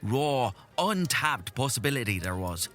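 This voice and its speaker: An actor playing an old village storyteller in a fantasy audio drama, insistent and folksy, with old-fashioned turns of phrase. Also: male voice, medium pitch, very animated, measured pace, fairly bright tone. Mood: earnest, awed.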